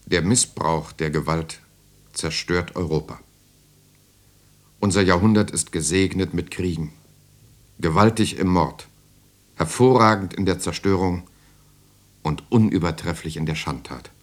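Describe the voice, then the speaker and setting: An actor playing a grave man who survived the war, narrating his memories in a solemn, measured way for a radio drama. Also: sits low. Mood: bitter. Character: grave war survivor recalling bombed-out Germany.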